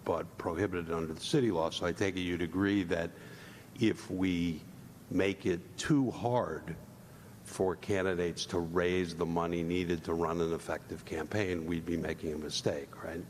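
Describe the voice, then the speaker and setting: A very low-voiced man speaks as a commissioner in deliberate, lawyerly phrases. The room is a reverberant hall with audible rumbling noise. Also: steady tone, darkish tone. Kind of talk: formal questioning at public hearing. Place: large indoor public hearing room.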